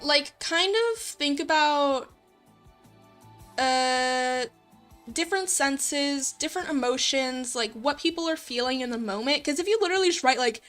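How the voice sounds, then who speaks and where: Woman, high-pitched voice, livestream host, casual chat, rambling, self-conscious, music in the background. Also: unhurried, fairly bright tone. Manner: informal, rambling, self-conscious, giggly, mutters while working. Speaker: livestream host and visual novel writer. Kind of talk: casual livestream chat while coding and reading viewer comments. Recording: music playing behind the voice.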